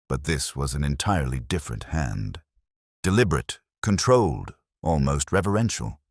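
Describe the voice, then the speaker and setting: Detective narrator for mystery audio drama, male actor: bass-deep, patient, grave and methodical, recorded close and dry. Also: breathy edge, no echo, full character voice. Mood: brooding, precise. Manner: measured, deliberate, grave. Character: brooding male detective inspector, methodical, literary, patient, solemn. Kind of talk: scripted first-person mystery narration.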